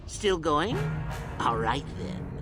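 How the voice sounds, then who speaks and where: Theatrical, cruel old Sith schoolmaster, wildly swooping voice. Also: male voice, on the high side, very animated, slow, loud music behind the voice. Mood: smug, toying. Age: older. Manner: pompous, gleefully cruel mock-schoolmaster, theatrical swings. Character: sadistic, theatrical old Sith interrogator tormenting his students. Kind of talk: video game villain acting.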